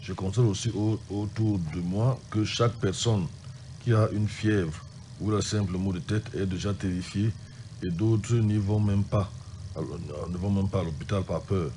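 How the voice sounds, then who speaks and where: Deep-voiced man, sick pastor hosting radio. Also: fairly even intonation, a little slow, mellow, a little hiss or hum. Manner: conversational, reads out phone numbers and listener messages.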